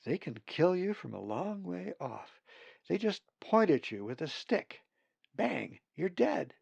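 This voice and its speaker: Opinionated, cautious female storybook raven, played by a man: raised pitch, emphatic disapproval, gravelly edge. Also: very animated, takes it slowly, a little mellow, no room sound, muffled recording, heavily acted. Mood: cautioning.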